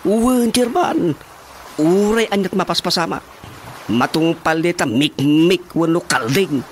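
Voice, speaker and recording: A man's voice: on the high side, measured pace, natural voice. A man with an Ilocano accent playing an eager, boastful village councilman in a radio drama comedy.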